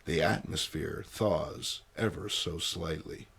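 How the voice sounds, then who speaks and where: A man's voice: rumbling low, slow, vocal fry, a bit bright. A man giving a playful, theatrical dramatic reading of a comic book, with wry asides. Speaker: amateur comic book reader.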